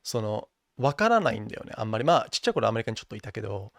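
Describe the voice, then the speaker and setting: A man's voice: lowish voice, very animated, rapid-fire, gravelly, a bit bright, no room sound, clean studio sound. A young man born and raised in Japan, chatting as a podcast guest, thinking aloud with many fillers and restarts and switching between English and Japanese. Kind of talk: casual chat.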